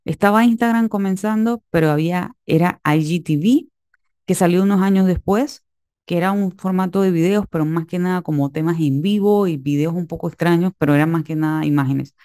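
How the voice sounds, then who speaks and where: A woman's voice: on the low side, fairly quick, dark tone, no room sound, studio quality. A woman teaching an online marketing lesson over a screen-shared whiteboard, direct and instructive, often checking in with listeners.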